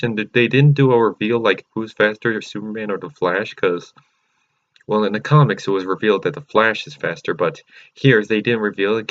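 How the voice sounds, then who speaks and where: A man hosting his own channel, chatting excitedly and rambling through a casual movie review with many fillers and restarts. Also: lowish voice, highs cut off. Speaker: channel host.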